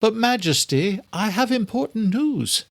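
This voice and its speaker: A man voicing an anxious, deferential female vampire servant in an audiobook, speaking in a hushed, urgent manner. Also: sits high, very animated, a little slow, creaky, no room sound, pitched above the performer's own voice, full character voice.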